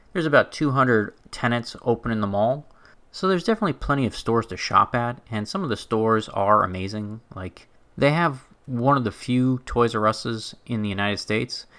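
Male vlogger, young, lowish bright voice, chatty and loose. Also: normal speed. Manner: chatty, conversational, with fillers and restarts. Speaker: travel vlogger. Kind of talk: casual narrated walking tour.

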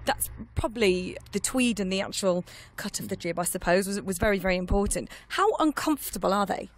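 A woman's voice: mid-range voice, lively intonation, brisk. A woman hosting a chatty, informal radio interview, putting questions and comments to a guest.

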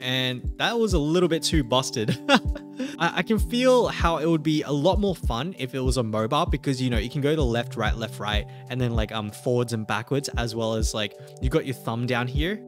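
A young man chatting casually as he plays through a mobile game, excitable and quick to laugh, recorded at a home setup on a desk mic. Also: mid-range voice. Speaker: gaming youtuber. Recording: music playing behind voice. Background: game music.

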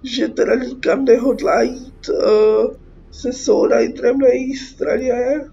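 A woman's voice: fairly high pitch, steady tone, vocal fry, dark tone. A young Czech woman giving casual, sarcastic let's play commentary, informal and sweary. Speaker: gaming YouTuber. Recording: dull lo-fi mic with faint noise.

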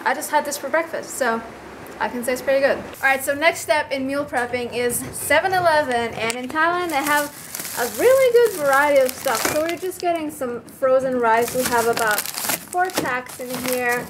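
A woman's voice: sits high, normal speed, slight background noise, low-quality recording. A woman in her twenties chatting enthusiastically through a casual cooking vlog in a small apartment kitchen, talking to a handheld camera. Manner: bubbly, enthusiastic, tastes food on camera. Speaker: vlogger.

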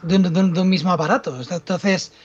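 A man's voice: sits high, steady tone, fast talker, breathy edge, lo-fi sound. A middle-aged man with an accent from Spain, a filmmaker talking insistently in a casual panel discussion. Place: indoors at home, video call.

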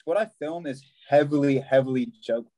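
A man's voice: mid-range voice, normal speed, dark tone. A young man, a long-distance hiker, chatting over a video call from a small room, often restarting his sentences and laughing easily. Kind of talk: casual video call chat.

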